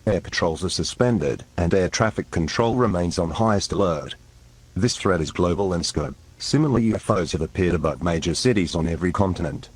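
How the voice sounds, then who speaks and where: Very deep, husky male emergency announcer. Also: dry recording. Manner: measured, official, impersonal. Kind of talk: formal scripted emergency broadcast announcement.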